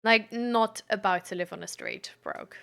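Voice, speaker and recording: A woman chatting casually like a livestream host reading and answering messages, halting and giggly, with lots of "like" as filler. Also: medium pitch, vocal fry, sharp tone.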